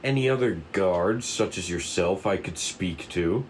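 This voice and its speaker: A man voicing a proud, self-righteous detective who speaks calmly and formally, in a cartoon script table read. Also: low voice, unhurried, a little hiss or hum. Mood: calm, assured. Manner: overly serious, formal, preachy. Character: proud, self-righteous male police detective, calm and earnest. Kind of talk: animated series table read.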